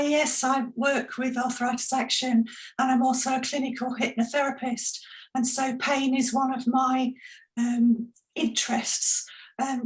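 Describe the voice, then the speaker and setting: A woman with a slightly croaky voice speaking semi-formally as a panellist on a webinar. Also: high-pitched, poor audio. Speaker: panel moderator and hypnotherapist.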